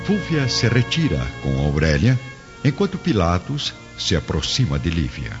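A man's voice: sits low, wildly swooping pitch, normal speed. A man narrating a radio drama in a solemn, theatrical scripted style. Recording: dull lo-fi recording, music underneath.

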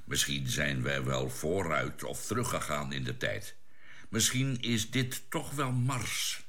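An actor playing a sober, sceptical scientist on a spaceship crew in a radio drama, thoughtful and explanatory in manner. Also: male voice, extremely low pitch, very animated, slow, pushed and bright, full character voice. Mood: pensive, explaining. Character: sober, sceptical male scientist aboard a spaceship, reasoning carefully. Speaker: crew member.

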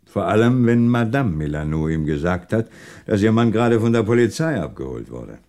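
A man's voice: fairly low pitch, sing-song, moderate pace, a little mellow. A male actor playing a sarcastic, relentless French police commissioner who probes pointedly in a 1960s German radio crime drama. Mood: calm, dry. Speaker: police commissioner interrogating suspects.

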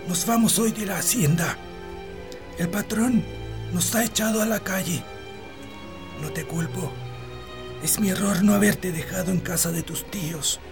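Tired chilean farmer dad, creaky voice, gentle and resigned, radio drama with music behind. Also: male voice, high-pitched, measured pace, pauses often. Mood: weary, resigned. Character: weary, resigned peasant father, dismissed from hacienda. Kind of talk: Spanish-language radio drama acting.

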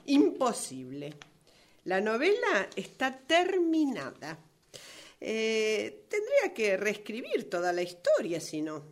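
A woman acting a flustered, defensive novelist in a radio play, with an Argentine Rioplatense accent. Her highish voice moves slowly and hesitantly, stammering in protest, with big swings of pitch. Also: wildly swooping pitch, heavily acted. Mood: firm, flustered.

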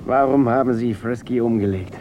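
An actor playing a bitter, menacing gangster out for revenge in a German radio drama. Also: male voice, medium pitch, moderate pace, warm tone, close to an everyday voice. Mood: threatening. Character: bitter vengeful male gangster holding a gun. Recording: dull radio recording, noisy backdrop.

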